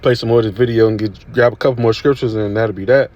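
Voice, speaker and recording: A man's voice: fairly low pitch, steady tone, fast, lo-fi sound, loud music behind the voice. A man giving casual religious commentary over video clips, laid-back and repetitive, with many fillers. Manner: laid-back, repetitive with "you know what I'm saying".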